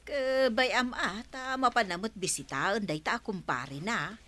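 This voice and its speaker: A woman's voice: medium pitch, a little slow, bright, forceful tone, no echo. A woman with an Ilocano accent playing a chatty, exasperated village wife in a Filipino radio comedy drama.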